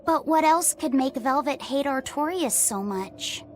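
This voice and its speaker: High-pitched, gentle young boy. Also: expressive, a little slow, a little hiss or hum. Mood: curious, earnest. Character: gentle, earnest young boy, polite and curious. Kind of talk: English video game dub acting.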